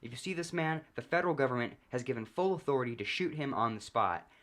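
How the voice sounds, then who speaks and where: A man voicing an urgent TV news anchor in a story, announcing a bulletin in a loud, declamatory way. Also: mid-range voice, animated, quick pace, pitched above the performer's own voice, lightly acted.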